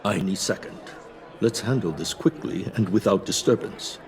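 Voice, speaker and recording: An actor playing a grave, fearful conspirator in a video game, speaking formally and with quiet urgency. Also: male voice, fairly low pitch, moderate pace, a little hiss or hum, close to an everyday voice. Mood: grave, wary.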